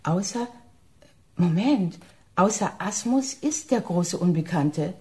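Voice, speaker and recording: An actress playing a brisk, sceptical investigator's partner in a radio crime drama, matter-of-fact and questioning. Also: female voice, fairly low pitch, expressive, warm tone. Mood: puzzled, deducing.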